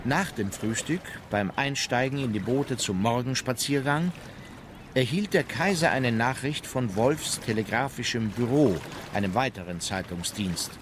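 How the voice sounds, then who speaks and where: An actor playing a sober naval officer, narrating a diary factually and formally in a historical radio drama. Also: male voice, mid-range voice, a little slow, close to an everyday voice. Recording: clearly noisy outdoor background.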